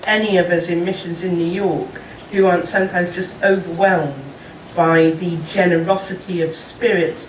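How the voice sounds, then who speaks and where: A woman diplomat gives measured, halting remarks at a panel, filling her pauses with small hesitations. She sounds some distance from the microphone in a large, echoey conference room. A faint hum sits underneath. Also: on the low side, steady tone. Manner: measured, hesitant, many fillers. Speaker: diplomat at a national mission.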